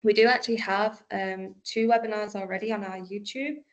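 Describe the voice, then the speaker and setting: A woman's voice: medium pitch, fairly even intonation, fairly quick, poor audio. A woman presenting a webinar in a casual, hesitant way, with many fillers and restarts.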